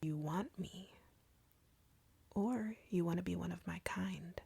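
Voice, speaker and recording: A very breathy, husky female vampire played by a woman in an intimate audio roleplay. She speaks slowly in a taunting, seductive whisper with a gravelly crackle, arrogant and cruel as she flirts with her prey. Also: on the low side, dark tone, no room sound, full character voice. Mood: smug, accusing. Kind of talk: intimate scripted audio roleplay addressed to the listener.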